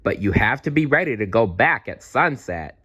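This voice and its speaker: A man's voice: mid-range voice, sing-song, normal speed, pushed and bright, breathy edge, heavily acted. A man voicing a large male flying reptile in a storybook, helpful but wary, with a plain-spoken, firm manner. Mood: firm warning.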